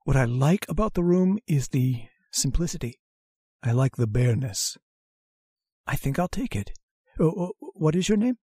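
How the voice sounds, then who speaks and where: A secretive, weary male lodger who stammers and trails off in a soft, hushed way, as a man acts him out. His voice is dark and breathy, recorded dry, like an old-time radio horror play. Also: a little high, very animated, moderate pace, no room sound. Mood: withdrawn. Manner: hesitant and stammering, soft-spoken. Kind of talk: radio drama acting.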